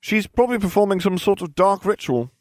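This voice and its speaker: A man's voice: sits high, animated, fairly quick, darkish tone, no echo, clean studio sound, voice pushed up. A man voicing a smug, theatrical, scheming schoolchild in an audiobook, glib and mock-grave.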